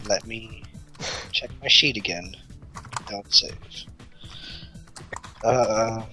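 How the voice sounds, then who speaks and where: A man with a bright voice of average pitch talks casually as a tabletop game player. Music plays softly underneath him. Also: low-quality recording. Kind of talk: casual chat in a game session.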